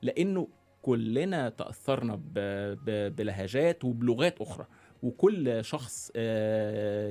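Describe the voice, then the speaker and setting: A man with an Egyptian accent, a guest who gives his views in a reflective, halting way, with many restarts and fillers. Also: medium pitch, darkish tone. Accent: Egyptian Arabic. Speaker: guest, founder of a dialect initiative. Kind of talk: reflective interview talk.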